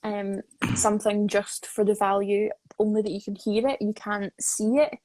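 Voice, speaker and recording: A woman's voice: mid-range voice, flat delivery, normal speed, a little mellow, no echo, low-quality recording. A woman in her twenties hosting a casual live online chat, emphatic and often repeating her phrases. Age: 20s. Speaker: interview host, associate producer.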